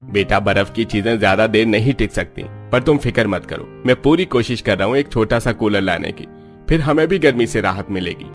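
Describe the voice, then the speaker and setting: A man's voice: on the low side, lively intonation, fairly quick. An actor voicing a poor, hardworking, loving father in a cartoon, speaking reassuringly. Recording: music behind the voice.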